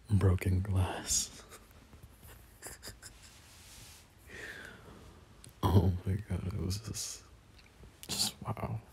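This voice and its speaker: A low, creaky-voiced young guy, acted by a man, plays the shy but teasing friend in an intimate audio romance. His soft, halting speech swings widely in pitch while staying casual and close. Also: exaggerated voice. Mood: amused, reminiscing. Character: teasing, bashful young male friend falling in love. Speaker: voice actor in a romance audio roleplay. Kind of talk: intimate casual boyfriend-style audio roleplay. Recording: noticeable background noise.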